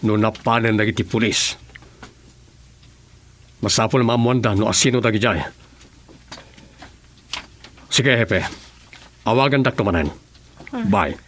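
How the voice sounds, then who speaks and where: Composed low-voiced Ilocano official. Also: male voice, a little slow, pauses often, fairly bright tone, slight background noise. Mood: businesslike. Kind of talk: Ilocano radio drama acting.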